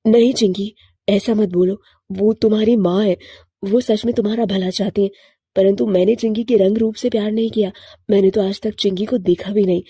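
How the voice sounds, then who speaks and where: An earnest young male crow in love, as voiced by a woman storyteller. The delivery is soft-edged and mellow, sincere and pleading, in a close, dry cartoon-story recording. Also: mid-range voice, steady tone, brisk, breathy edge, no room sound. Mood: hurt, pleading.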